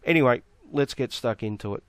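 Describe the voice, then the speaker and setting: Croaky man with a flu. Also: mid-range voice, fairly quick, no room sound. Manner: sarcastic, mocking rebuttal. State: has the flu, croaky throat. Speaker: YouTube commentator. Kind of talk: argumentative response video.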